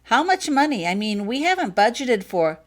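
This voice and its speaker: A woman voicing an awkward, distracted father in an audiobook story, hesitant and halting. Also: on the high side, sing-song, pitched above the performer's own voice. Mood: unsure. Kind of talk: acted fanfiction audiobook dialogue.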